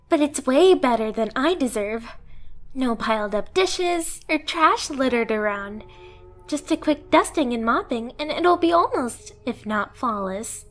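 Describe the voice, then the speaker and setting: A woman voicing a submissive, obsessively devoted stray cat girl in an anime ASMR roleplay, with a girlish, eager, self-deprecating manner. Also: high-pitched. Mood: awed, excited. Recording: music behind the voice. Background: music.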